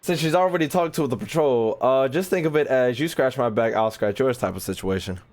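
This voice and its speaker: A man's voice: mid-range voice, a little fast, darkish tone. A young man doing casual livestream reactions to a game, joking in slang and repeating phrases for effect. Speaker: game streamer. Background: game music.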